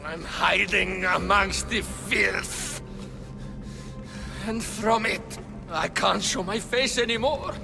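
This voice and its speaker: An actor playing a ruthless, cornered crime boss in hiding, ranting and menacing in a video game cutscene. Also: male voice, high-pitched, creaky, full character voice. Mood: bitter. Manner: ranting, menacing, slow delivery. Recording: music under the voice.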